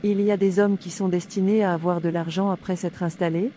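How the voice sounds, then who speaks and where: A woman voicing a pious, calm young woman in a cartoon drama, preachy and stilted. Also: fairly low pitch, a little fast, a little hiss or hum, lightly acted. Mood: earnest, admonishing.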